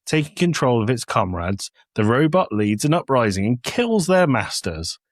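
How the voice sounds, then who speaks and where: A man's voice: mid-range voice, very animated, normal speed, dry recording, studio quality. A man co-hosting a podcast, chatting casually and reading summaries aloud in a jokey, playful way. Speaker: podcast co-host.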